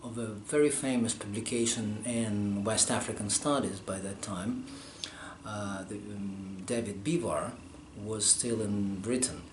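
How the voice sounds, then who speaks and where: Slow, halting man in an echoey room. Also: lowish voice. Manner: halting, pausing often to find words. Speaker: scholar interviewed about manuscript studies. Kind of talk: interview answers. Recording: roomy echo, faint background hum.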